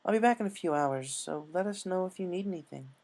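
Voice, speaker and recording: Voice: medium pitch, animated, quick pace, darkish tone, voice pushed up, close to an everyday voice. A narrator voicing a matter-of-fact female pony nurse in a businesslike storybook delivery.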